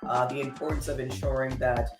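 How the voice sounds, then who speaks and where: A woman from San Diego whose first language is Spanish, co-hosting a casual tech chat over a video call, expressive and checking in with "right?". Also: a bass voice, brisk, echoey room, over music. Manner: expressive, says "right?" often. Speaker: co-host, coach.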